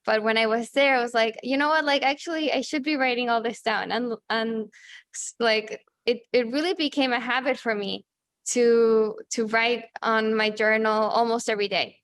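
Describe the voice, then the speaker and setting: A woman in her thirties from Chile, a native Spanish speaker, talking casually as an interview guest, halting with many fillers and restarts. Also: on the high side, fairly even intonation, fairly bright tone, dry recording, clean studio sound. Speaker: guest, journalist and author.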